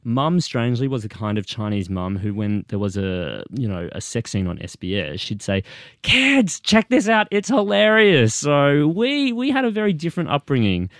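A man's voice: mid-range voice, sing-song, moderate pace, no echo, studio quality. A young man from Australia, a guest telling frank, funny stories in casual chat.

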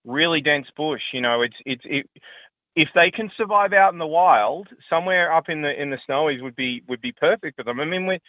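A man's voice: fairly high pitch, expressive, a little fast, dry recording. A man from Victoria with an Australian accent phoning a radio show, casually and earnestly recalling things. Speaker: radio phone-in caller. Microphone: telephone. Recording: tinny phone line.

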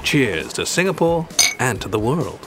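A man's voice: mid-range voice, big pitch swings, measured pace, over music. A man hosting a podcast in scripted narration, explaining things in articulate, rather formal phrasing. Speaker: podcast host.

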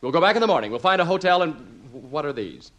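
Excitable 1950s radio husband, swooping pitch. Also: male voice, fast talker. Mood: disgruntled. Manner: stammering, emphatic, boyishly excitable. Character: excitable, sentimental husband and father, exasperated new homeowner. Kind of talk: 1950s radio comedy acting. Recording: vintage 1950s radio recording.

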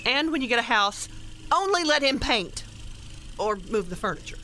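A woman's voice: fairly high pitch, big pitch swings, moderate pace, sharp tone, slight background noise. A woman playing a bossy, teasing older grandmother in a radio play, dryly exasperated with her husband and scolding in a no-nonsense way. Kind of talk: small-town radio play acting.

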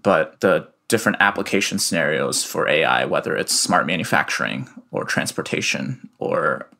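A young man from the United States answering interview questions from home in a measured, explanatory way with some fillers. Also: rumbling low, lively intonation, gravelly, sharp tone. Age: young adult. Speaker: guest, researcher.

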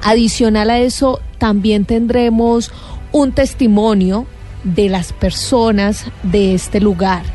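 A woman co-hosting a radio talk show, explaining the evening's program in a measured way. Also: mid-range voice, fairly even intonation, mellow, faint music behind. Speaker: radio co-host.

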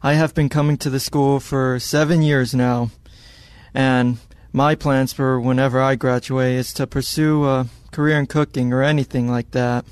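A man's voice: mid-range voice, flat delivery, normal speed, clear, resonant tone, no room sound. A nineteen-year-old man, a graduating student guest, introducing himself in a shy, formal way.

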